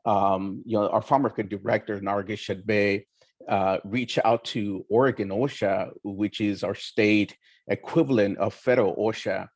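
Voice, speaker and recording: Measured, earnest man, dry close sound. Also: fairly low pitch, steady tone, no echo. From Oaxaca, Mexico. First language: Mixtec. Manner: measured and earnest, with frequent pauses and fillers. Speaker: community educator and roundtable panelist. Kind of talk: roundtable presentation.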